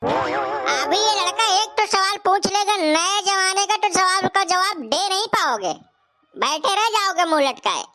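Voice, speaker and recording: Woman playing a bright, high-pitched cheeky village fellow. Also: expressive, measured pace, soft background music, heavily acted. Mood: challenging, teasing. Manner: taunting, colloquial, insistent. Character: cheeky, argumentative younger village man, teasing and insistent. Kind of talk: comic cartoon dialogue.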